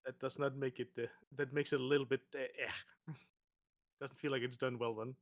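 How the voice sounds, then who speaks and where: A mid-pitched man talks hesitantly in a casual chat, with slightly non-native phrasing and some pauses. The sound is dry and close, with no room around it. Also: level tone, no room sound. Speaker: podcast co-host.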